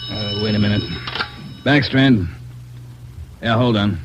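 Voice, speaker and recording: A businesslike police chief in a vintage radio play. His voice is low and his manner terse. The recording is muffled and clearly noisy. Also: fairly even intonation, slow. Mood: brusque. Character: curt, businesslike male police superior. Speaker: radio drama actor. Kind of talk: 1940s radio police drama.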